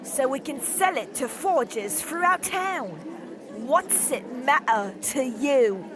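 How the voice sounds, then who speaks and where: A woman's voice: high-pitched, animated, slow pace, a crowd in the background. A woman acting a bossy, threatening workhouse overseer in an audio drama. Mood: stern, bossy.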